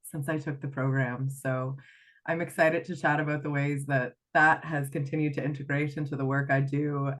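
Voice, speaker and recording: A low-voiced woman in her thirties with a Canadian accent tinged by Irish. She speaks reflectively, filling pauses and wandering into asides, heard over a remote call mic. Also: flat delivery. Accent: Canadian with an Irish lilt. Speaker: guest, life coach. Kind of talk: conversational interview.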